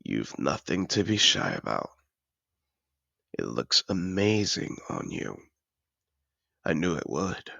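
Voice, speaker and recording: A man playing a seductive, possessive dragon in human disguise in an intimate fantasy audio roleplay, teasing and courtly in a slow, gravelly murmur. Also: bright, forceful tone, no echo, heavily acted. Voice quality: very deep, gravelly. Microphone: close, dry microphone.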